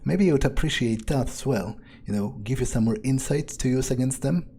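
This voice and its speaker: A man improvising in-character game commentary as a scheming vampire, musing aloud in a deliberate, self-assured way. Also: medium pitch, mellow, a little hiss or hum. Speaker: gamer playing in character. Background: faint game music.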